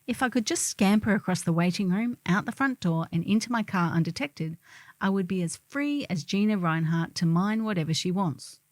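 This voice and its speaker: A woman's voice: on the low side, a little mellow, no echo. A middle-aged woman reading a humorous first-person column in a wry, theatrical storytelling manner.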